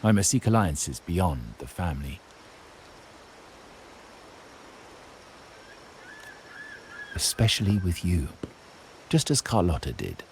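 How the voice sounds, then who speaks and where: A man playing a proud, scheming heir of a powerful noble family in a video game, speaking in a formal, measured way. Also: deep voice, big pitch swings, a little mellow, a little hiss or hum. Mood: grave, measured. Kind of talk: video game cutscene acting.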